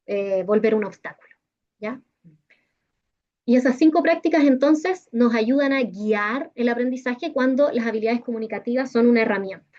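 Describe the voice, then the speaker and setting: A woman's voice: on the high side, darkish tone. A woman with a Chilean accent explaining material didactically as a workshop presenter, often checking in with short tag questions, over a video call. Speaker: teacher-training workshop presenter. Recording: dull, lo-fi video call audio.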